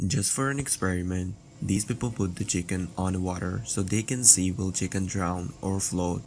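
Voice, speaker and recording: A man's voice: sits low, moderate pace, a little mellow, a little hiss or hum. A man reading scripted narration as the presenter of an online video compilation. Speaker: narrator of a viral clip compilation.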